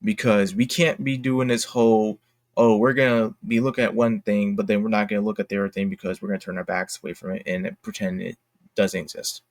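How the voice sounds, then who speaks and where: A man with a fairly low voice hosts an online opinion video. He speaks off the cuff, often repeating and correcting phrases as he works through his point. The sound is very dry, with no room echo. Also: flat delivery, a little fast. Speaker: host of an online commentary video. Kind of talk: unscripted opinion commentary from notes.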